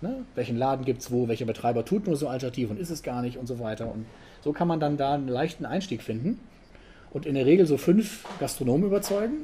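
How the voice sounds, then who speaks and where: A middle-aged man giving a casual, conversational talk to an audience at an event, often ending points with a quick tag question. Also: mid-range voice, a little hiss or hum. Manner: conversational, frequent tag questions, animated emphasis. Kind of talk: informal conference talk.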